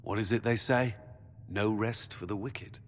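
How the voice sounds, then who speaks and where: An actor playing a stern, wary military commander in a fantasy video game, firm and duty-bound. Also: male voice, fairly low pitch, fairly even intonation, unhurried, close to an everyday voice. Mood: resolute. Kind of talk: video game dialogue acting. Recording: echoey stone hall, faint background noise.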